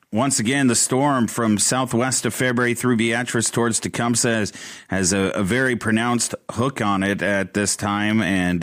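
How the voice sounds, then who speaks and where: A man hosting live radio storm coverage, ad-libbing in a halting, urgent way. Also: medium pitch, a bit bright. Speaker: radio host covering severe weather.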